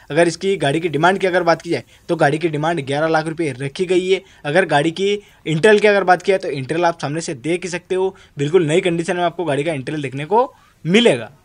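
A man from India speeds through a used car yard with a phone camera, his voice riding high. He rattles off repetitive salesman patter. The sound is an outdoor lot under a shed. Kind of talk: off-camera walkaround commentary.

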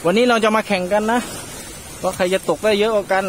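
A man hosting a casual vlog on a beach, giving animated, exclamatory play-along commentary. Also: sits high, quick pace. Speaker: vlog host. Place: outdoors on a sandy seashore. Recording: noisy outdoor recording.

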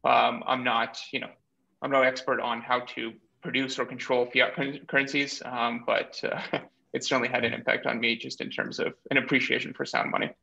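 A man in his thirties from Bulgaria, explaining his background in a measured way with many pauses, speaking as a guest over a video call from a small room. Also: mid-range voice, little pitch movement, gravelly, bright, forceful tone. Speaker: guest, startup cofounder. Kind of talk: remote interview. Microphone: webcam or laptop mic on video call. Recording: dull lo-fi video call audio.

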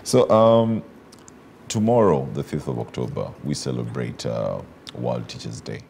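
A man hosting a morning TV talk show, prompting guests in a relaxed way and laughing often. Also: extremely low pitch, unhurried, vocal fry, slight background noise. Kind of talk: morning TV interview. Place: TV studio set.

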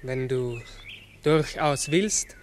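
An actor playing a sad, gentle giant talking raven in a 1960s radio drama, halting, wondering and solemn. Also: male voice, medium pitch, a little hiss or hum. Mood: puzzled, hesitant. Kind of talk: 1960s German-language radio drama acting.

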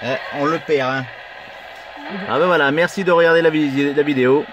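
Higher-pitched man, casual CB operator. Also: steady tone, normal speed, lo-fi sound. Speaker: CB radio operator and video maker. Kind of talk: casual radio contact.

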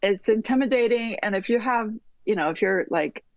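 A middle-aged woman from Taiwan, an architect answering interview questions in a thoughtful way, with many restarts and soft trailing fillers, heard over a video call. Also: medium pitch, moderate pace, vocal fry, no echo, lo-fi sound. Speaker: architect guest. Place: home room with bookshelves, remote call.

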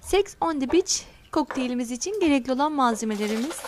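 High-voiced woman explaining a recipe. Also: expressive, slight background noise. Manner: friendly, addresses viewers as friends; slow, careful instructions. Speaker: home cooking channel host. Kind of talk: step-by-step recipe voice-over. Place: home kitchen counter.

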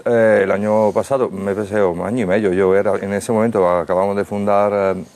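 A middle-aged man from Italy speaking, a restaurateur guest on a studio panel who tells anecdotes lively, acting out the dialogue, on a lapel mic. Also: sits low, gravelly. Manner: animated storyteller acting out quoted dialogue. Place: TV studio set.

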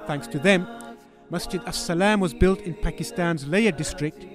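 A man giving a measured, reverent scripted narration as a charity voice-over. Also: on the high side. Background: music.

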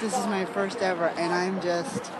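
A woman from Boston chatting excitedly and emotionally while touring an outdoor stadium, recorded on a handheld camera. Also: on the low side, moderate pace, a loud crowd. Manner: effusive, emotional. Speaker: vlog co-host and tourist. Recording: loud outdoor city noise.

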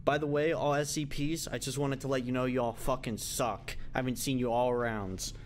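A man's voice: mid-range voice, fairly even intonation, moderate pace. A man playing a video game, trash-talking over an intercom in a brash, profane, taunting manner.

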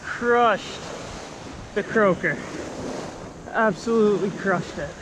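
A man's voice: high voice, takes it slowly. A young man in his twenties narrating his fishing trip on an ocean beach, talking into an action camera, with surf and wind behind him. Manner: excitable, shouting when hooking fish. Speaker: fishing vlogger. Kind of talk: excited fishing vlog commentary. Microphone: action camera, head-mounted then handheld.

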